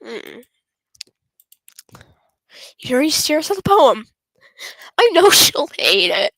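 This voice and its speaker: A woman streamer reading a visual novel aloud with casual asides, halting and stumbling over the lines as she goes. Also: high-pitched, sing-song, fairly bright tone, no echo. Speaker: gaming streamer.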